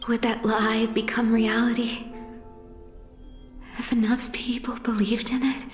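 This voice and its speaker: Actress as idealistic young woman, wistful, hushed, slow, game cutscene, long reverb. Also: on the high side, level tone, natural voice. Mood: wistful, yearning. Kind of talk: scripted game drama acting. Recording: long echoing reverb, music behind. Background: music.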